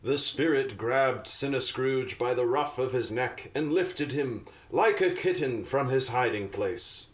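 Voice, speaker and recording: A man's voice: medium pitch, animated, unhurried, hardly any pauses, natural voice. A man narrating a radio play like a storybook, with dramatic, mock-literary delivery. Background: music.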